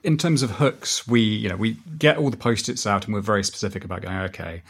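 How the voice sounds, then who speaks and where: A man's voice: on the low side, a little fast, husky. A man being interviewed as a podcast writer, talking conversationally and acting out little quoted reactions as he explains.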